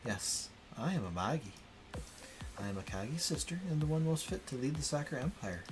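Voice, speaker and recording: A man's voice: medium pitch, lively intonation, fairly quick, natural voice. A man voicing an obsessed, scornful female impostor villain from a visual novel in a haughty, theatrical way. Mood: imperious. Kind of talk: dramatic visual novel read-aloud. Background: game music.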